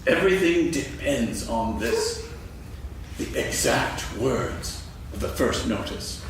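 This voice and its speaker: A man's voice: mid-range voice, big pitch swings, slow pace. A man playing a pompous, absentminded owl in a staged reading of a children's story, bluffing at being wise. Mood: self-important. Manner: pompous, ponderous, hesitant bluffing. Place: on stage in a hall, recorded from afar. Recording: echoey hall, distant, faint noise.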